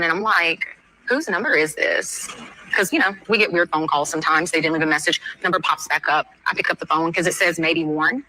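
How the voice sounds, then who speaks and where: A woman's voice: fairly low pitch, sharp tone, rough recording. A woman giving a casual personal account, telling events step by step in a conversational way. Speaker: interviewee recounting events.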